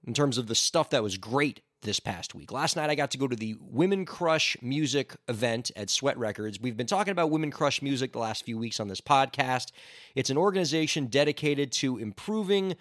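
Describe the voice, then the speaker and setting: A man hosting his own podcast in an upbeat, chatty and earnest way, his voice hoarse from laryngitis. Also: medium pitch, expressive, a little fast, fairly bright tone, no echo, studio quality. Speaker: podcast host. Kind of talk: casual solo podcast talk.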